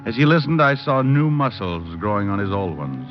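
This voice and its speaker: An actor playing a cocky, wisecracking hardboiled private detective who narrates with deadpan humor in an old-time radio drama. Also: male voice, fairly low pitch, moderate pace. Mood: wry narration. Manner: deadpan, flippant wordplay in narration and dialogue. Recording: muffled old radio recording with music.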